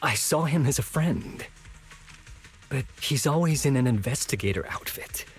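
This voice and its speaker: A man's voice: medium pitch, lively intonation, normal speed, faint music behind, lightly acted. A man voicing a troubled, unassuming young man in a video game, with a hesitant and sincere manner. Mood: confused, uneasy.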